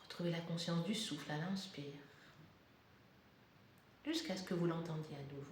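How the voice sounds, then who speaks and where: A woman guiding a yoga meditation in calm, deliberate short phrases with pauses between instructions. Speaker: yoga nidra guide. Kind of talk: guided meditation, slow soothing instruction. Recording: very echoey room, faint rumbling noise.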